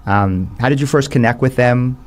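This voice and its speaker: A man hosting an interview, asking questions in a measured, emphatic way and repeating phrases for weight. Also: mid-range voice. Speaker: interview host. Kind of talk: interview conversation.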